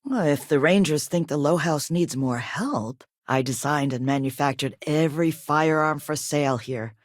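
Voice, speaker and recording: A woman acting as a poised, quietly assertive company chief executive in a video game, polished, measured and courteous but firm. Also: sits low, no room sound, studio quality, lightly acted. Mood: composed, businesslike.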